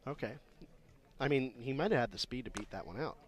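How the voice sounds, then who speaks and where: A man's voice: mid-range voice, expressive, brisk. A man giving conversational live radio analysis as a baseball color commentator.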